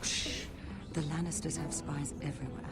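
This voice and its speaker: A woman acting as a gossipy, wary member of a royal court in a fantasy video game, keeping her voice hushed. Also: sits low, fairly even intonation, moderate pace.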